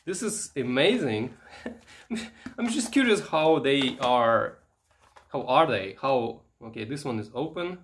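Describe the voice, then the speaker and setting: A man giving casual, unscripted show-and-tell commentary, halting and musing aloud as he handles things. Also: medium pitch, lively intonation. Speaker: vintage electronics vlogger.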